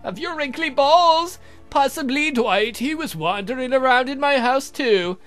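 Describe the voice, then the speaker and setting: Vampire count played by a male gamer: sardonic, theatrical menace, lively high pitch, faint music behind. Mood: gloating, mocking. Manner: mocking, campy, drawn-out.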